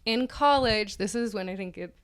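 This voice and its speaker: A woman's voice: medium pitch, moderate pace, slight background noise. A woman co-hosting a podcast, giving a mocking dramatic reading of an article with casual asides, prone to laughing as she reads. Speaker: podcast co-host.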